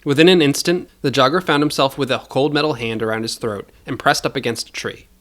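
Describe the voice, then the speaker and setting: A man's voice: medium pitch, a little fast, a bit bright. A man narrating scripted audio fiction as the storyteller.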